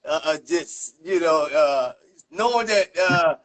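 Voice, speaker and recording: High-pitched streetwise man. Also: animated, slow pace, fairly bright tone, low-quality recording. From South Central Los Angeles, United States. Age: 50s. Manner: streetwise, slangy, profane. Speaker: interview host. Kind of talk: casual chat.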